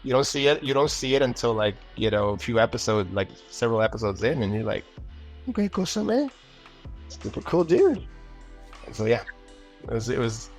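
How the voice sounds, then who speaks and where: Male interview guest, voice actor. Low register, loose anecdotal delivery with repeated words, faint music behind. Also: quick pace. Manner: animated, rambling with repeated words. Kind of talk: casual interview chat with anecdotes.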